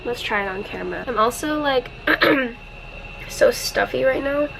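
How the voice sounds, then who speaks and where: A woman's voice: a little high, expressive, unhurried, creaky, music in the background. A woman vlogging as an exchange student, chatting casually to her handheld camera, rambling and laughing often, with a stuffy, congested nose. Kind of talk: casual vlog chat to camera. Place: dorm room, then outdoors on campus. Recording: noisy background.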